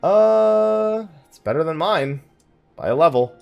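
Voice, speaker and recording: Animated man doing casual game commentary. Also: high voice, takes it slowly, muffled recording, faint music behind. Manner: thinking aloud, muttering asides to himself. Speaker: let's play host.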